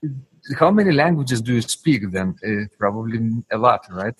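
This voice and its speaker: Husky-voiced male interviewer. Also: mid-range voice, big pitch swings, normal speed, low-quality recording. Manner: encouraging, frequent affirmations and fillers. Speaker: interviewer, host. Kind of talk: casual interview questions.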